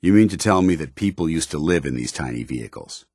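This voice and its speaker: Game companion role: dutiful armored military officer, stern and loyal, low clean voice, formal clipped delivery, dry recording. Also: male voice, expressive, fast talker, darkish tone, no room sound. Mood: wary, observant. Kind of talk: video game companion dialogue.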